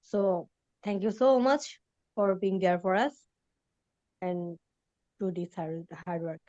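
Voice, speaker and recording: A woman taking part in a webinar speaks in a hesitant, halting way. Her video call audio sounds muffled and lo-fi, dry and close. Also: medium pitch, fairly even intonation, a little mellow, no room sound. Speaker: webinar participant. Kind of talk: casual remarks on a video call.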